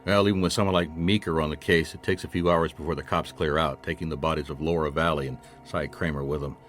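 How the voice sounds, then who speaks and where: An actor playing a wry, dogged private detective in a radio drama, narrating and speaking in a dry, understated way. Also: male voice, rumbling low, fairly even intonation, quick pace, fairly bright tone, faint music behind. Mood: wry narration.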